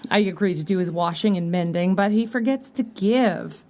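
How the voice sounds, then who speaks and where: A woman's voice: mid-range voice, moderate pace, a little mellow, lightly acted. A woman playing a fussy, motherly older landlady in an audio drama, exclaiming and fondly scolding.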